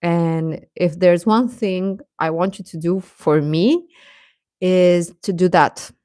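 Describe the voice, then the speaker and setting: A woman hosting a casual livestream, chatting to viewers in a gentle, encouraging, affectionate way. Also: lowish voice, slow pace, no echo, clean studio sound. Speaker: livestream host.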